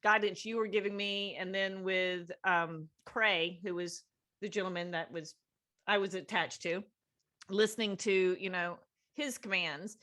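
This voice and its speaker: A middle-aged woman co-hosts in a chatty, enthusiastic way. Her voice is bright and sits in a middle pitch. She rambles through her thoughts with plenty of fillers. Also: steady tone, unhurried. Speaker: podcast co-host. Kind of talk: casual interview chat.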